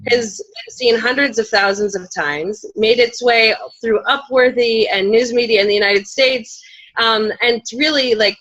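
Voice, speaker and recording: A woman's voice: mid-range voice, fairly bright tone. A woman speaking informally as a panelist in an online discussion, conversational, with many ums. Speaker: panelist, community organization leader. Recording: slightly dull online call audio.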